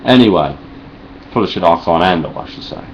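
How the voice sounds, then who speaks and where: Low-voiced essex geezer mumbling sarcastic rants at his webcam, bit of hiss, dull muffled audio. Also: brisk. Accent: Cockney Essex. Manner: deadpan, sarcastic, rambling, heavy swearing. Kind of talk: sweary deadpan vlog response.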